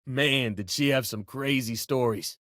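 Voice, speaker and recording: A man's voice: medium pitch, unhurried, a bit bright, dry recording, studio quality, natural voice. An actor playing an easygoing, joking young male space miner in a video game, talking in casual, wisecracking slang. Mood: laid-back. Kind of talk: video game voice lines, conversational.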